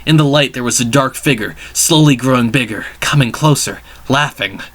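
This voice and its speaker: A man's voice: mid-range voice, normal speed, a bit bright. A man giving a dramatic reading of a story as its narrator. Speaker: reader of a fan fiction story.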